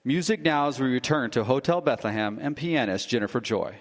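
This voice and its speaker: An actor playing a radio news announcer in a live audio drama, speaking in formal newscaster style. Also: male voice, mid-range voice, fairly quick, big-room sound, heavy background noise, close to an everyday voice.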